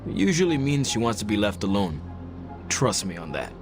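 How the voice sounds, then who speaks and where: A man's voice: on the low side, very animated, a little fast. A man voicing a chatty, casual young teammate in a video game. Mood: casual, wary. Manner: casual, informal. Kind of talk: scripted game dialogue, casual. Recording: faint music behind the voice.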